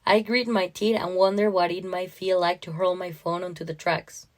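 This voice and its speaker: A woman narrator reading a first-person literary short story in an expressive, dramatic way. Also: fairly low pitch, normal speed.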